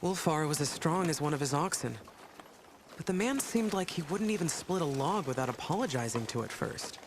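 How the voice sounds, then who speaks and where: Brooding inquisitor narrator, faint noise. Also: male voice, fairly high pitch, animated, brisk. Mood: reflective, brooding. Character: brooding male inquisitor recounting his dark fantasy journey. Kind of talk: first-person scripted story narration.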